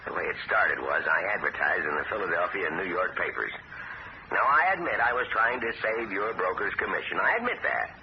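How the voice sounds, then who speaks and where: A man's voice: deep voice, animated, a little fast. An actor playing a coaxing small-town man who is trying to sell a house, in an old radio drama. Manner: coaxing, persuasive. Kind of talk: 1970s radio drama acting. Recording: dull, hissy old radio broadcast.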